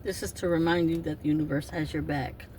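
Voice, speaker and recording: A woman's voice: deep voice, fairly even intonation, a little fast, a little mellow. A woman in her fifties doing an oracle card reading, talking in a rambling, chatty way that often interrupts itself. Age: 50s. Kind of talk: casual spiritual card reading. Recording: distant mic with faint noise.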